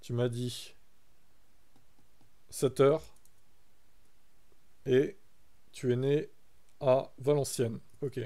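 A man's voice: mid-range voice, fairly even intonation, very slow, long pauses, warm tone. A young man from France chatting casually on a live stream, reacting to his chat and reading text aloud.

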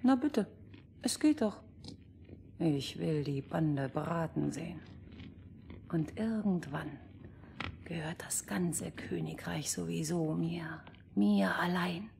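An actress playing a spoiled, cruel young princess in a fairy-tale radio play, bossy and wheedling. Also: female voice, lowish voice, slow, gravelly, pauses often, a little mellow, heavily acted. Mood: menacing.

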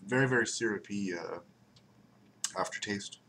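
A man's voice: fairly low pitch, moderate pace, very noisy. A young man casually reviewing a beer at home, rambling and repeating words for emphasis, recorded on a desk webcam. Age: young adult. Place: home room by window blinds, indoors.

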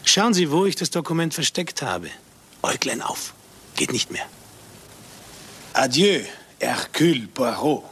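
An actor playing a polite, cultured gentleman murderer in a German radio crime drama. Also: on the high side, very animated, a little slow, pauses often, slight background noise, full character voice. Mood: smug, gloating.

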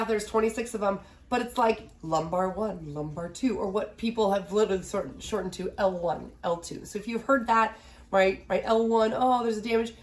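A woman in her thirties giving a casual recorded biology lecture from a small home office, a little nasal from a cold, often asking "right?". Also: medium pitch. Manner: chatty, asks "right?" often, fillers. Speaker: biology instructor.

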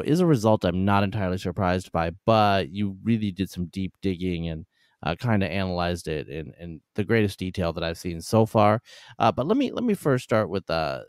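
A man's voice: deep voice, expressive, normal speed, clean tone, no room sound, clean studio sound. A man hosting an interview podcast, talking casually with many fillers and restarts. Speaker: podcast host.

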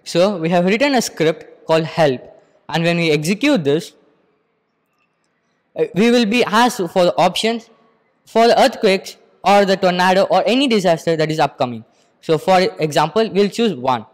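Indian teen boy doing a formal school presentation, high voice, very echoey room. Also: animated, moderate pace. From Andhra Pradesh, India. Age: teens, about 15. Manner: formal, explanatory. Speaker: student presenter. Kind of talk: rehearsed school project presentation. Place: school computer lab, indoors. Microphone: handheld microphone. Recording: echoey room, long reverb.

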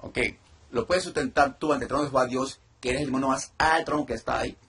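A middle-aged man speaks with calm authority over a video call. He gives steady commands and pauses to explain each step of the session. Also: medium pitch, slight background noise. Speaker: deliverance minister. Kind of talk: ministry session with teaching asides. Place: indoor room.

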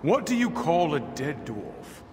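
An actor playing a vain, boastful warrior lord in a video game, with pompous, theatrical taunts. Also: male voice, a little high, sing-song, measured pace, darkish tone. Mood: mocking. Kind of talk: video game character voice lines. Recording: echoing game audio with background noise.

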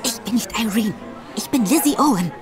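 An actress playing a confident, cunning female detective in a radio drama, speaking briskly. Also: on the high side, lively intonation, over music, natural voice. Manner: self-assured, brisk.

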